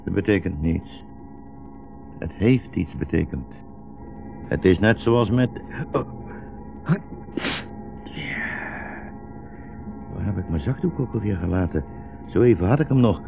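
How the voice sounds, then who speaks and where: Wry old blind rabbi. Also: male voice, deep voice, lively intonation, a little slow, gravelly, long pauses, over music, full character voice. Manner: ironic, rhetorical. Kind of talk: 1960s radio play acting. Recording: muffled, noisy 1960s radio recording.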